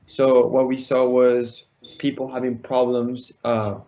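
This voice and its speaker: A teenage man from Colombia, giving a prepared pitch over an online call. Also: a little mellow, lo-fi sound. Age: teens, high school student. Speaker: student entrepreneur pitching.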